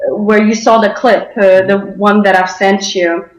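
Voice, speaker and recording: A woman's voice: medium pitch, steady tone, measured pace. A young woman from Denmark giving personal testimony as an interview guest, speaking hesitantly, in a roomy, echoey sound.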